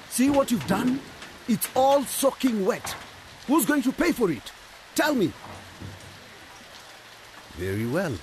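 A bullying, scolding boss. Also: male voice, high voice, noisy background, exaggerated voice. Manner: scolding and domineering. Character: harsh bullying male boss. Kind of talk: radio drama acting.

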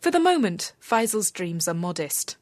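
Lady reading a radio report, even and measured, dry booth sound. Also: fairly low pitch, no room sound. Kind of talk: scripted news narration.

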